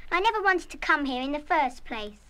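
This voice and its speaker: A woman's voice: high voice, animated, fairly quick, pushed and bright, a little hiss or hum. An actress playing a naughty, defiant, spoiled girl of about eleven in a children's radio play, petulant and emphatic. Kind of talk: full-cast children's radio play acting.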